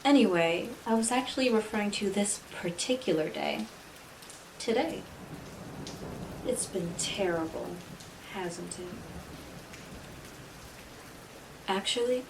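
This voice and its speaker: A woman's voice: medium pitch, reverberant, heavy background noise, lightly acted. A woman playing an enigmatic, devilish stranger in a roleplay audio drama, speaking coyly and theatrically to the listener with teasing condescension. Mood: coyly friendly. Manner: coy, deliberate, theatrical, talking directly to the listener.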